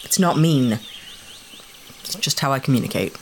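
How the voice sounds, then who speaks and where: A woman's voice: rumbling low, lively intonation, moderate pace, background noise. A woman playing an irritable, sarcastic, distrustful spaceship owner in a sci-fi audio drama, with a blunt, snappish way of talking. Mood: guarded, irritated.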